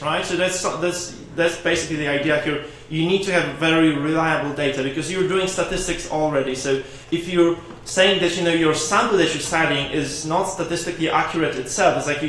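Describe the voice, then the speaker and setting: A man's voice: fairly high pitch, fairly bright tone. A man presenting research at a conference, answering audience questions hesitantly with many restarts. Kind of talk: academic Q&A discussion. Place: conference lecture room. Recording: echoey hall with faint noise.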